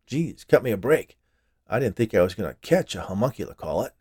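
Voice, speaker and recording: A man's voice: lowish voice, sing-song, brisk, darkish tone, breathy edge. A man playing an exasperated, defensive homeowner in a storybook tale, speaking bluntly and casually. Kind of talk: storybook character voice.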